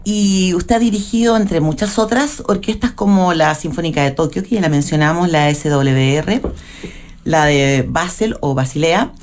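A woman's voice: lowish voice, normal speed, a little mellow, a little hiss or hum. A woman from Chile, interviewing a conductor and carefully reciting his credits and reviews. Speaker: interviewer, radio host. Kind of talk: cultural interview.

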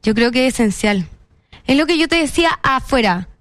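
A woman's voice: high voice, lively intonation, fairly quick, no echo. A young woman with a Chilean Spanish accent, chatting as an interview guest in a playful, self-mocking way. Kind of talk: casual interview chat.

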